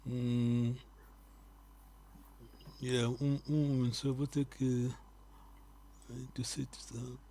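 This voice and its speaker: A man teaching a live online language lesson, quizzing his students and repeating key words slowly so they stick. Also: medium pitch, little pitch movement, mellow, a little hiss or hum.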